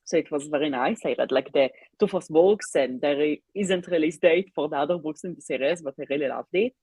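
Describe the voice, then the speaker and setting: A woman with a low, slightly rough voice, recorded close and dry. English is not her first language, and she searches for words as she chats informally on a reading livestream. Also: a little fast, a little mellow, dry recording. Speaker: co-host of a livestreamed reading sprint. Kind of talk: casual unscripted livestream chat about books.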